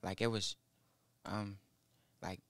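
A nineteen-year-old man, a rapper guest in a small studio, speaking haltingly into a boom mic with many fillers. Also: little pitch movement, fairly bright tone, no echo. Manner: halting, mumbled, full of fillers. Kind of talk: casual interview. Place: small studio with foam wall. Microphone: studio mic on boom arm, headphones.

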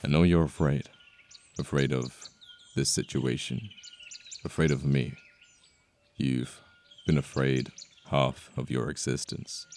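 A man acting a possessive yet protective mafia boss in an ASMR romance roleplay, with a British accent, a deep gravelly voice and an intimate manner full of sighs and pauses. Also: fairly even intonation, a little mellow, a little hiss or hum. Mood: menacing, teasing. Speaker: voice actor. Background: birdsong, outdoor ambience effects.

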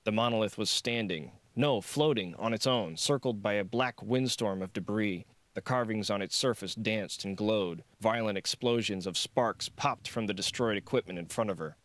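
Low-voiced male audiobook narrator. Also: normal speed, hardly any pauses, a bit bright, no room sound. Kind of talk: scripted narration of a thriller novel.

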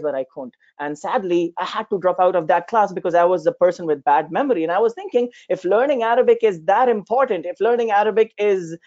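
A man's voice: high voice, a little mellow, muffled recording. A young man whose first language is Urdu, giving an informal lecture with a personal story, talking conversationally with many fillers and restarts. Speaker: Arabic instructor. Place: small room indoors, talking over a shared screen.

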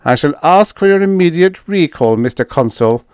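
A man's voice: a little high, animated, moderate pace, a little mellow, clear, resonant tone, no room sound, pitched above the performer's own voice, close to an everyday voice. A man voicing a frail, evasive alien official in an audiobook, with a weak, trembling voice and formal, protesting diplomatic speech. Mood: indignant protest. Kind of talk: audiobook character dialogue.

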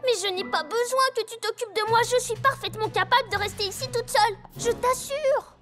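Cartoon filly, childlike and very high-pitched, insistent, expressive little-sister energy. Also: female voice, a little fast. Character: plucky young filly, exasperated little sister. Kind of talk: animated cartoon dub acting. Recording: music behind the voice.

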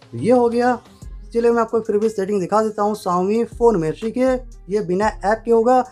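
Man, high-pitched, instructional tutorial narration, repetitive phrasing, faint background music. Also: moderate pace, darkish tone. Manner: instructional, repeats stock phrases. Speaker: tech tutorial presenter. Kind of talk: step-by-step phone tutorial narration.

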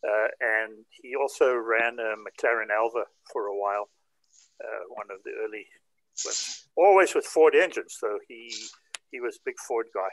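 A man's voice: mid-range voice, moderate pace, fairly bright tone, dry recording, rough recording. An older man from South Africa chatting casually and in detail on a group video call. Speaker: participant in a hobby group chat. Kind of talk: casual chat, recounting.

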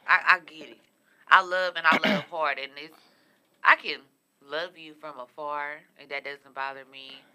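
A woman's voice: deep voice, steady tone, normal speed, sharp tone. A young woman co-hosting a podcast, earnestly asking questions and speaking openly about her feelings in casual chat. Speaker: podcast co-host. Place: studio room with a city backdrop.

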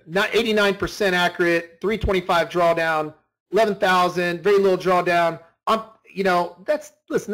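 Guy with a pretty high voice walking people thru trading software, informal, emphatic, repeats stuff and checks they follow. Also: rough recording. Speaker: trading instructor leading a conference call. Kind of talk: informal software tutorial with screen share.

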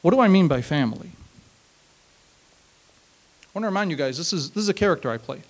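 A man in his thirties telling a personal story at an open mic on a small stage, reflective and measured, leaning on emphatic repeated phrases, speaking into a handheld mic. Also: on the high side, lively intonation. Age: 30s. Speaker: spoken word performer. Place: small stage, seated on a stool.

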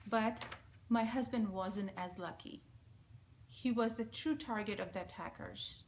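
A woman in her forties with a Bangladeshi accent, Bengali being her first language, reads a prepared message in a measured, solemn, steady way, facing a close camera in a small room. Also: mid-range voice, a little hiss or hum. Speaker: speaker giving a recorded message. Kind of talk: reading a prepared statement. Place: small indoor room, plain wall. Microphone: front-facing camera at close range.

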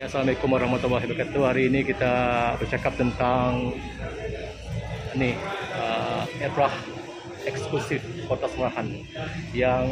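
A man's voice: medium pitch, vocal fry. A man hosting a casual vlog, chatting with stall sellers at a busy outdoor bazaar. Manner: chatty, loud and excited while buying food, calmer when interviewing. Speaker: vlogger. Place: crowded outdoor Ramadan bazaar. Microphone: handheld camera mic. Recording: handheld camera in loud crowd with music.

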